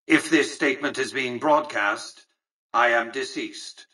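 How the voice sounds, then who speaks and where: Calculating game tycoon, pompous formal diction, sharp husky tone, slightly off-mic. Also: male voice, mid-range voice, measured pace, distant mic, low-quality recording. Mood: matter-of-fact. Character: cold, calculating, arrogant aged male tycoon and technologist. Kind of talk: scripted video game character dialogue.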